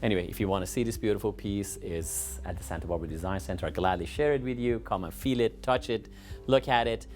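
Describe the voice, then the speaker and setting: A man's voice: on the low side, fast, a little mellow, soft background music. A man hosting a design show, presenting and interviewing in an effusive, complimentary way. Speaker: TV show host.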